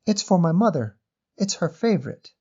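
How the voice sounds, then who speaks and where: Man voicing an earnest high-pitched little girl. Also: big pitch swings, moderate pace, darkish tone, slightly rough, muffled recording, full character voice. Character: eager, polite little girl, determined and trusting. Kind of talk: audio short story character voice.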